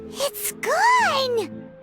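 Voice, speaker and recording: A teeny squeaky girl fairy from a game, crazy high, drawn-out slow words, excitable n curious. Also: full character voice. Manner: squeaky, gasping, wide-eyed. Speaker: game character voice actor. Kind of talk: scripted video game dialogue.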